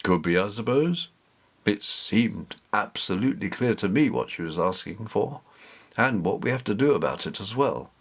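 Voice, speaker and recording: A man voicing a thoughtful, patient grandfather in a storybook, explaining things in a measured manner. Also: on the low side, expressive. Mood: pensive. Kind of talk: storybook character voice.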